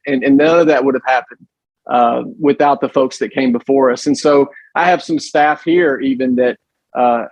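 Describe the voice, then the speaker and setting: A man with a loud voice and a Southern US accent, talking emphatically in an interview with many restarts. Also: mid-range voice, no echo, highs cut off. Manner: emphatic, frequent restarts and fillers. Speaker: guest, pregnancy center director. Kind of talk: conversational interview.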